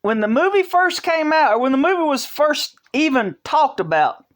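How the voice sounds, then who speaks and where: A vlog host giving casual opinion commentary, talking in a halting way, restarting phrases and filling pauses, in an earnest, conversational tone. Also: high voice, sing-song. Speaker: channel host.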